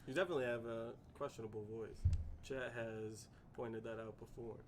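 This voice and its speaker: A man's voice: mid-range voice, steady tone, brisk, a loud crowd. A man teasing his co-hosts in casual chat, laughing often. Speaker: show co-host. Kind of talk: casual banter among co-hosts.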